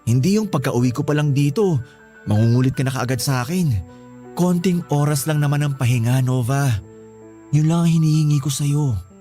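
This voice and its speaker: A man's voice: on the high side, moderate pace, warm tone, close to an everyday voice. Guy acting a tired young filipino husband in a radio drama, pleading and fed up, bit of music under it. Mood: pleading, frustrated.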